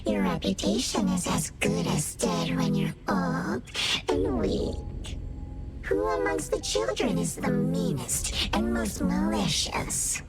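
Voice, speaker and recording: A woman's voice: lowish voice, wildly swooping pitch, slow pace, over loud music, exaggerated voice. A woman voicing a haughty, cryptic flower spirit in a video game, reciting in a formal, theatrical way. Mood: bitter, scornful.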